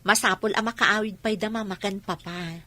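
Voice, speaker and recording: A woman's voice: mid-range voice, fairly even intonation, moderate pace, sharp tone, close to an everyday voice. A woman playing an affectionate young woman being courted, acted in the style of a radio comedy drama. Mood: earnest, hesitant.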